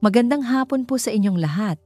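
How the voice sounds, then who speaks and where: A woman with a Filipino accent voicing a calm, commanding male CEO in a narrated story, speaking in measured, formal phrases. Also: on the high side, dry recording, soft background music, pitched above the performer's own voice. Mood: solemn, resolute. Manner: measured, formal, emphatic public-speech delivery.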